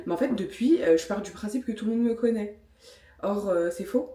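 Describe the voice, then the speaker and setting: A woman's voice: medium pitch, brisk, warm tone. Chatty French woman in an echoey room. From France. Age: 30s. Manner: chatty, self-mocking, many fillers. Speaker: vlogger. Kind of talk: casual vlog talk with product review.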